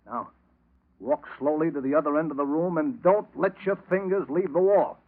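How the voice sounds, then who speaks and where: An actor playing a scheming, short-tempered, suspicious racketeer in a 1940s radio drama, with a curt, commanding manner. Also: male voice, fairly high pitch, moderate pace, natural voice. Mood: commanding, wary. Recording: narrow, tinny old radio recording.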